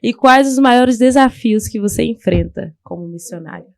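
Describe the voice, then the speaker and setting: A woman's voice: a little high, normal speed, creaky, no echo, studio quality. A woman hosting a church podcast, interviewing her guest and answering him often with words of praise. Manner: affirming, with frequent "glória a Deus". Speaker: podcast host.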